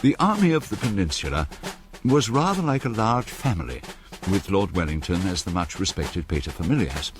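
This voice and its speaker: A man playing a reflective army veteran narrating a radio drama in a measured, genteel way. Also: sits low, big pitch swings, over music. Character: reflective old-soldier narrator recalling Wellington's army.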